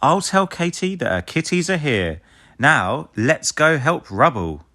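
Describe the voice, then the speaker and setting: A man's voice: a little high, big pitch swings, unhurried, sharp tone, pitched above the performer's own voice, heavily acted. A man voicing an upbeat, commanding young boy who leads rescue pups in a children's storybook, rallying and encouraging.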